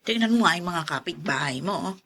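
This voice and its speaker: A woman's voice: on the low side, expressive, fairly quick, a bit bright. A woman acting a fussy, middle-aged aunt in a radio drama, exclaiming and nagging in a melodramatic way. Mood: mildly indignant. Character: scolding older aunt, fussy and quick to complain.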